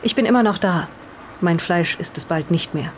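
A woman's voice: on the low side, moderate pace, natural voice. A woman playing an uneasy 1920s witness to occult events in an audio drama, with faint noise behind. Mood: solemn, ominous. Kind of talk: in-character audio drama retelling.

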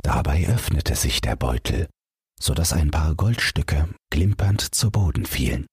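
A man with a husky, gravelly voice reading a bedtime fairy tale as an audiobook narrator in a measured storytelling way. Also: rumbling low, expressive, a little mellow, no echo, studio quality.

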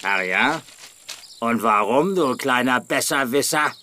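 An actor playing a menacing, scornful robber boss in a children's radio play, barking threats and sneers. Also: male voice, mid-range voice, lively intonation, takes it slowly, sharp tone. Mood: menacing, smug.

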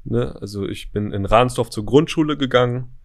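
A man's voice: mid-range voice, moderate pace. A man from Berlin, guest on a casual podcast interview, answering in short, hesitant bits that trail off. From Berlin, Germany.

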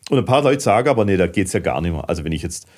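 A man's voice: deep voice, racing. Swabian-accented man telling stories casually. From southern Germany. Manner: fidgety, animated storyteller with dialect. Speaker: podcast guest, filmmaker. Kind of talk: casual conversational storytelling.

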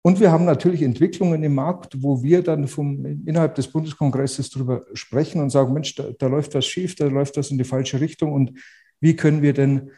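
A man with a Bavarian accent speaks as a podcast guest, in a very dark, mellow voice. He is outspoken but hesitant, restarting his sentences often. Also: a little high, steady tone, brisk. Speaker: real estate agent, interview guest. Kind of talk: podcast interview.

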